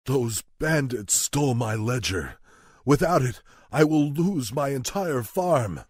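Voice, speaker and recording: An actor voicing a frightened, humble farmer in a fantasy video game. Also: male voice, mid-range voice, animated, slow, slightly rough, no room sound, studio quality. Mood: pleading. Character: frightened humble farmer begging rescue from bandits.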